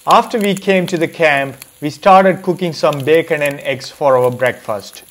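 A man's voice: on the high side, a little slow, a bit bright. A man reading a scripted voice-over about a trip as a vlog narrator. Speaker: vlogger narrator. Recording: music behind the voice. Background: background music.

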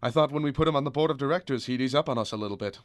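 A man's voice: medium pitch, racing, dry recording, studio quality, natural voice. An actor playing a kindly, weary, principled small-town father in a radio drama. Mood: weary, bitter. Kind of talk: old-time radio drama acting.

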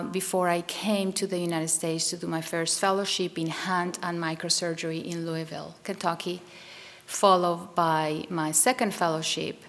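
A woman in her forties with a Colombian Spanish accent and Spanish as her first language, a surgeon speaking at a podium in a measured way, with many ums and uhs. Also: fairly low pitch, a bit bright. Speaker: transplant surgeon. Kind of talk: panel talk with slides. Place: wood-paneled lecture hall, at a podium. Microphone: podium mic.

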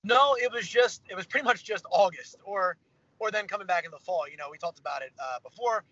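Young man, upbeat and rambling, higher voice, parked car, phone video call, dull sound. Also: expressive, a little fast, pushed and bright, no echo. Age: young adult. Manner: upbeat, rambling, lots of fillers. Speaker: pro fighter interviewee. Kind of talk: casual video-call interview. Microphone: phone camera on a video call. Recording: slightly dull video-call audio with faint car hum.

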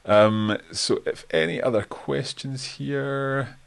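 A man in his forties with a Scottish accent, hosting a live stream from his bookshelf-lined study and answering viewer comments into a desk mic in a relaxed, friendly way. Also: mid-range voice, slow pace, creaky, clear, resonant tone. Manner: relaxed and friendly, thanks viewers, frequent fillers. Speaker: host. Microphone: desk microphone in front, webcam framing.

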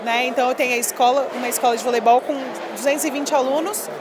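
Ex-volleyball player lady, high voice, talks fast and emphatic, lots of filler, noisy expo hall behind. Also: fairly even intonation. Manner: chatty, emphatic, many filler "né". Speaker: former volleyball player, interviewee. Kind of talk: casual interview. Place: busy expo hall, indoors. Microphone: handheld mic held by interviewer.

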